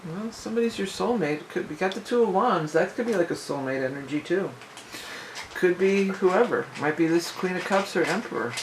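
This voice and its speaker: A woman with a raspy, sore-throated voice, chatting loosely through a casual tarot reading, with asides and restarts. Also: on the low side, fairly quick, noisy background. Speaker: tarot reader, channel host.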